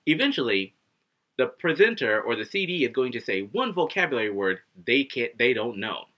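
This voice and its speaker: A man in his thirties teaching English to camera at home, animated and acting out little scenes as he explains. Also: mid-range voice, moderate pace. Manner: animated, acts out students and himself, gestures a lot. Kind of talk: casual video lesson to camera. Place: living room at home, indoors. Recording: dull lo-fi webcam audio.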